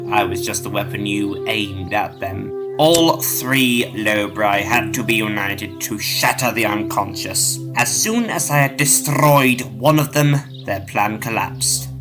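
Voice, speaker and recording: Guy acting as grave alien time traveller in an audio drama, lowish voice, takes his time, commanding, music underneath. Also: lightly acted. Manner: measured, authoritative, clipped orders.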